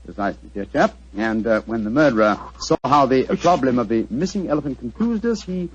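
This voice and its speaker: A self-assured British gentleman detective in an old radio drama. His delivery swings widely in pitch as he lays out his reasoning with theatrical precision, the voice a little husky. The 1940s recording is muffled and dull. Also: lively intonation, a little fast. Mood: coolly analytical.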